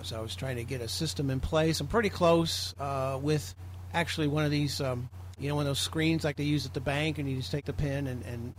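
An American man giving an informal, rambling explanatory talk to a group as a presenter in an online meeting. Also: medium pitch. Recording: clearly noisy online meeting audio.

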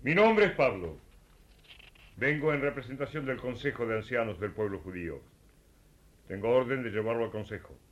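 A man's voice: medium pitch, expressive, moderate pace, pauses often, highs cut off, lightly acted. An Argentine man playing a zealous, hot-headed religious persecutor in radio theatre, emphatic and accusatory. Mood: stern, official.

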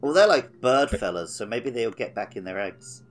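A man's voice: mid-range voice, lively intonation, normal speed. A man co-hosting a gaming podcast, thinking aloud in a colloquial, analytical way. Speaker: podcast co-host. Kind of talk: casual gaming podcast chat.

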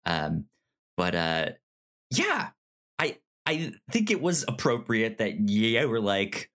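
Lively man hosting a podcast. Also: fairly low pitch, slow pace. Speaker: podcast host. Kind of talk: casual podcast chat.

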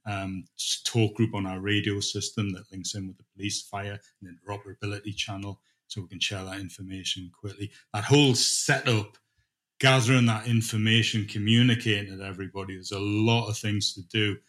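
A man's voice: fairly low pitch, steady tone, fairly quick, fairly bright tone. A middle-aged man speaking as a manager in an informal interview, thinking aloud and repeating words as he goes. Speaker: dispatch manager being interviewed.

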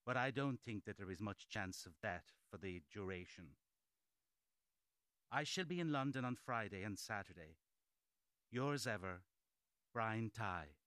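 An actor playing a grave, resigned early twentieth-century gentleman soldier reading out his letter in a radio drama, formal and restrained. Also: fairly low pitch, animated, unhurried, pauses often, no room sound, clean studio sound.

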